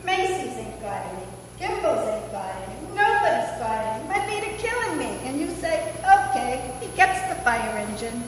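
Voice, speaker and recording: A woman's voice: fairly high pitch, very animated, moderate pace, hardly any pauses. A woman playing a blunt, weary, exasperated city mother in an old-time radio play. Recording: very echoey, noisy hall, distant mic.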